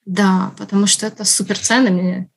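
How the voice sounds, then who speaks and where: A woman's voice: mid-range voice, little pitch movement, moderate pace, vocal fry, slight background noise. A woman guest on a podcast, chatting casually and laughing often as she talks, with many restarts along the way. Russian is her first language. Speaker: podcast guest, writer and journalist.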